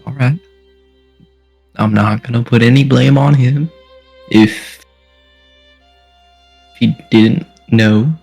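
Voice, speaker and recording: A man playing a tabletop game, speaking his character in a halting, hesitant way. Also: mid-range voice, fairly even intonation, gravelly, a little mellow, breathy edge, faint music behind. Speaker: player.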